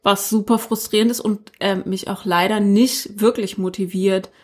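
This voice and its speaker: A chatty woman co-hosting a podcast, talking casually and poking fun at herself. Her voice sits at an ordinary middle pitch, and she scatters fillers through her sentences. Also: steady tone, moderate pace, breathy edge. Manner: chatty, self-deprecating, many fillers. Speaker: podcast co-host. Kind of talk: casual chat.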